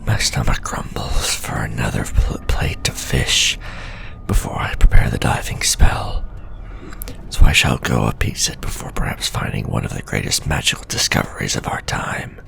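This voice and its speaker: A man voicing an obsessive male scholar-mage who reads his letters home in a formal, soft whisper for an ASMR story. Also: rumbling low, normal speed, gravelly, full character voice. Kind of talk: whispered ASMR roleplay reading.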